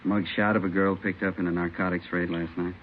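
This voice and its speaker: An actor playing a businesslike police captain in an old radio drama, speaking plainly and briskly. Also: male voice, sits low, little pitch movement, lightly acted. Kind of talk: 1950s radio acting. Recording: very noisy, muffled old radio recording.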